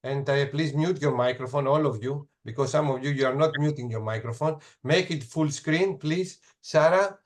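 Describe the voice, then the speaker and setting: A man with a Greek accent moderating an online panel, introducing speakers with halting pauses and fillers. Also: a little high. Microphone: video call.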